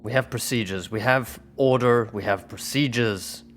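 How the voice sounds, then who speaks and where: A man's voice: mid-range voice, moderate pace, a bit bright, faint music behind. A man role-playing at a tabletop game, making his case insistently and repeating phrases for emphasis. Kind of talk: in-character role-play discussion.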